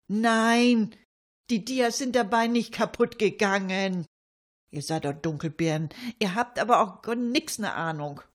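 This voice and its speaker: A woman playing a chatty older lady in a comic monologue, rambling colloquially and addressing her listeners with mock indignation. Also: medium pitch.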